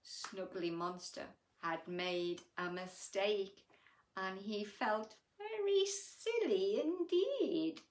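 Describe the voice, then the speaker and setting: A woman's voice: mid-range voice, expressive, drawn-out words, echoey room. A woman hosting a children's story time, telling stories and chatting to young listeners in a gushing, affectionate, exclamatory manner. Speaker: children's bedtime story reader and host. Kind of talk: animated storytelling and chat addressed to young children.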